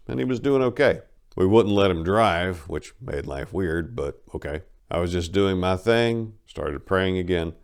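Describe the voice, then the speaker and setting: A man with a deep voice narrates a personal memoir. He tells it as a dramatic reading, conversational and wry, like an audiobook narrator recounting his own life.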